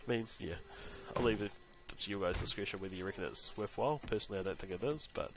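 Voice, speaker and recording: A man's voice: lowish voice, fast talker, noisy background. A man with a New Zealand accent giving rambling, chatty commentary while he plays a game, talking to himself between remarks to viewers. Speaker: gaming channel host. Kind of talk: casual let's-play commentary.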